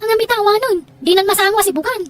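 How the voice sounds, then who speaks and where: A very high-pitched, fast-talking young daughter in a radio-style drama acted by a woman. She nags and scolds, full of reproach and disapproval. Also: soft background music. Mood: complaining. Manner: nagging, reproachful. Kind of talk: Ilocano audio drama dialogue.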